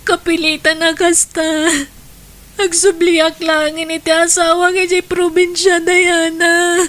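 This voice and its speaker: A woman's voice: very high voice, completely flat delivery, slow pace, a bit bright, faint music behind, exaggerated voice. A woman with an Ilocano Filipino accent playing a distressed, wronged wife and mother in an audio drama, plaintive and emotional. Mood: grieving.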